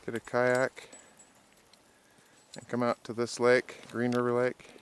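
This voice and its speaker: A man's voice: medium pitch, monotone, slow pace, a little hiss or hum. Chatty man narrating a mountain hike. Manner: chatty, easygoing, wry. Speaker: backpacker filming his trip. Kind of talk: casual trail vlog narration. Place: outdoors on a mountain trail by lakes.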